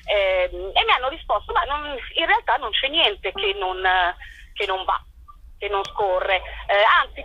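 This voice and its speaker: A woman answering interview questions as a playwright and performer, in a colloquial, animated storytelling way. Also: on the high side, lo-fi sound.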